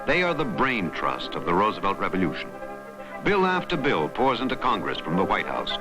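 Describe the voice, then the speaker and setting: A man's voice: lowish voice, huge pitch swings, normal speed. An American man narrating a vintage documentary in a dramatic, emphatic newsreel style with scripted lines. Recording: vintage documentary soundtrack with loud music. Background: loud music.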